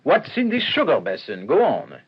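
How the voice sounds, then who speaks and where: An actor playing a shrewd, self-assured police detective in a radio crime drama, with a theatrical delivery. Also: male voice, a little high, huge pitch swings, moderate pace, breathy edge, full character voice. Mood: urgent, demanding. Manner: theatrical, musing then demanding. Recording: dull old radio recording, faint hiss.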